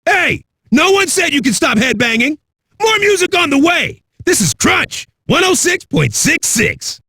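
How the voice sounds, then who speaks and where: A foul-mouthed, hyped-up rock radio DJ for a video game. His voice is raspy and very high for a man, with a forceful edge. He swaggers through every line like an aggressive party host, in a close, dry sound. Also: very animated, a little slow, no room sound, exaggerated voice. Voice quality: raspy, gravelly. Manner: aggressive, rowdy, swaggering delivery.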